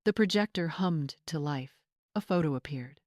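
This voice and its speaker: A woman's voice: low voice, animated, normal speed, a little mellow, no room sound. Woman narrating a dramatic story, dry sound.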